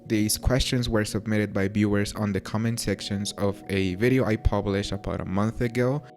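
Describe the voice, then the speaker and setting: Young Mexican man with a low voice. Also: normal speed. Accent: Mexican with British touches. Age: young adult. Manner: relaxed, chatty, self-deprecating with frequent fillers. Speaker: YouTuber. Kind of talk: casual Q&A to camera. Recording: faint music underneath.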